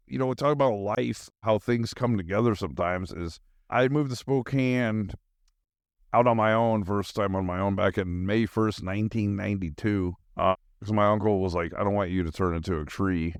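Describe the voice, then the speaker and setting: A man's voice: on the low side, dry recording, clean studio sound. A middle-aged man with an American accent, hosting a podcast in a casual, rambling, slangy monologue. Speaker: podcast host.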